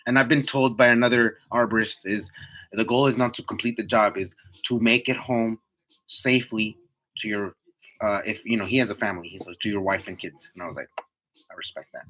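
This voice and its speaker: A man's voice: lowish voice, pushed and bright, low-quality recording. A man in his twenties chatting casually to live viewers in a slangy, motivational way, repeating himself for emphasis. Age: 20s. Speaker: livestream host, tree climber.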